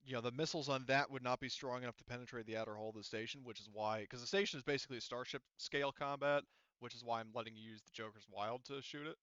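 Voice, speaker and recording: A man with a smooth, clear voice runs a tabletop game as game master. His talk is casual and informal, and the close, dry recording sounds a little dull. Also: medium pitch, steady tone, quick pace, a bit bright, dry recording.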